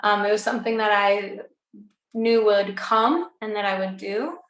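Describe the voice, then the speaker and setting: A woman's voice: medium pitch, a little slow. Chatty young woman in an echoey room. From United States. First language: Greek. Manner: bubbly, lots of "like" and "um". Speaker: recording artist guest. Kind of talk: casual interview chat.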